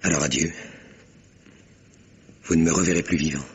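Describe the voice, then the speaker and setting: An actor playing a desperate, insistent rejected suitor, solemn and melodramatic, in a 1950s French radio drama. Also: male voice, very deep voice, steady tone, normal speed, breathy edge, exaggerated voice. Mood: bitter, fatalistic. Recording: vintage radio recording, faint noise.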